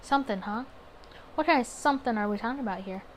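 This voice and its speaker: A woman's voice: fairly high pitch, quick pace, natural voice. A woman plays a guarded Prohibition-era detective in a visual novel. He comes across as flustered, hesitant and on the defensive. There is faint noise on the recording. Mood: guarded, curious.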